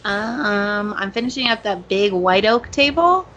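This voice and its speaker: A woman's voice: medium pitch, lively intonation, a little slow, a bit bright. A woman chatting casually as a podcast co-host about her work. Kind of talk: casual chat. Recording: dull lo-fi audio with background noise.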